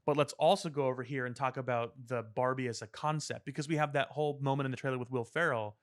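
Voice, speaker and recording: Guy podcast cohost, mid pitch, rambly analytical casual talk, dry studio. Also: fairly even intonation, fast talker, dry recording. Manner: discursive, analytical. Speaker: podcast co-host. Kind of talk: casual pop culture discussion.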